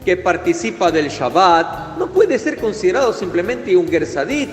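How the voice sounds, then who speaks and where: A man's voice: sits high, big pitch swings, normal speed. A man preaching a fervent, emphatic sermon, with Hebrew religious terms in his speech. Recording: echoey hall with faint music.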